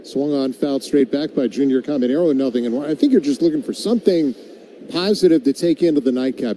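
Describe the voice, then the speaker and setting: A man calling a baseball game as a radio play-by-play announcer, describing each pitch and filling in between with commentary. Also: mellow, slight background noise, rough recording.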